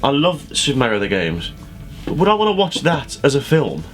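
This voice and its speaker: Animated man in casual banter. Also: medium pitch, brisk, faint music behind. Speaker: show co-host.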